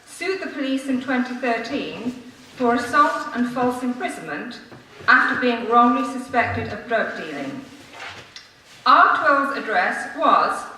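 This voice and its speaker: A woman reads a formal prepared speech to a council meeting, measured and earnest, with emphatic phrasing. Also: fairly high pitch, bright, forceful tone. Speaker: member of the public addressing a city council. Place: large council meeting room, indoors. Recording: echoey room from far off, faint noise.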